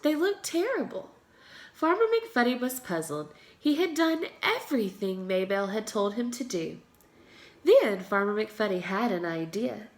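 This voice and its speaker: Female pre-K teacher, storybook read-aloud, highish voice, very animated intonation for young listeners. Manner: expressive, addressing young children.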